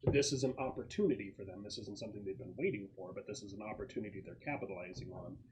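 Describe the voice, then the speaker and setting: Low-voiced man running a tabletop game. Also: fast, a little mellow, slight background noise, rough recording. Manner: conversational, explanatory. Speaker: game master. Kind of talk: explaining a tabletop game scenario to players.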